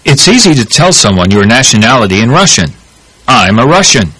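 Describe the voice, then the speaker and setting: A man narrating a language course in English, giving measured, clear instructions. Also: medium pitch, big pitch swings, pushed and bright, no room sound, rough recording.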